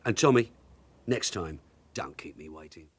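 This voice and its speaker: An actor playing a shrewd veteran detective sergeant, wry and blunt, in a naturalistic radio drama. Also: male voice, low-pitched, animated, moderate pace, husky. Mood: curt, warning.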